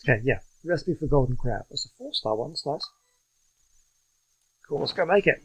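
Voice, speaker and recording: A man's voice: on the high side, expressive, normal speed, a bit bright, slightly rough, rough recording. A man giving casual, chatty commentary as he plays a game, thinking aloud and reading choices out. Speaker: gaming streamer.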